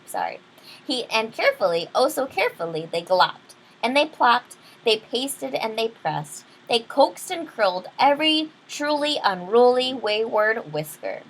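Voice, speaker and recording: A woman reading a children's picture book aloud expressively, pausing to explain things to young listeners. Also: on the high side, a bit bright, low-quality recording. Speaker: read-aloud storyteller.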